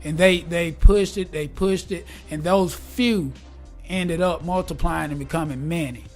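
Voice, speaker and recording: A man in his forties, talking casually and earnestly in an interview, upbeat and a bit preachy, and quick to laugh. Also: on the high side, fairly even intonation, faint music behind. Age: 40s. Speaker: guest, gospel rap artist. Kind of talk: casual conversation.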